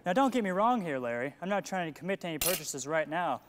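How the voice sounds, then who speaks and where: A man's voice: a little high, very animated, quick pace. An actor playing a relentlessly upbeat, pushy salesman of the future in a comic stage play, full of glib patter. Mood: smooth selling. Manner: glib sales patter, talks over objections. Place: theater stage at a play festival. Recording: echoey stage hall, noticeable hiss.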